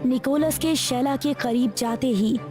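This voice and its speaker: A woman narrates a scripted horror tale in a high voice. She takes it very slowly, with music clearly playing behind her.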